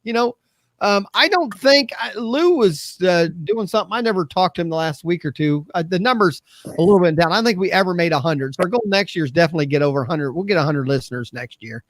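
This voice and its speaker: High-pitched man in his fifties, podcasting. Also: expressive, fast, no room sound. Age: 50s. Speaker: podcast host. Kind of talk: casual chat.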